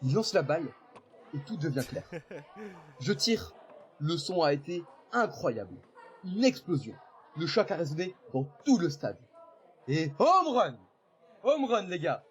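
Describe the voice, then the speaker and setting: A man's voice: high voice, a little slow, pauses often. A man playing a boastful teen jock. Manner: animated storytelling, teasing. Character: boastful teenage boy, baseball-loving classmate. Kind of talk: fan audio drama acting.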